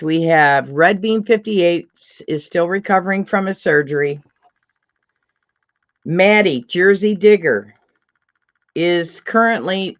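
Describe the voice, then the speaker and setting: A middle-aged woman with a deep voice, hosting a casual livestream chat, chatty and laughing often as she reads out comments. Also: highs cut off. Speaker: livestream host.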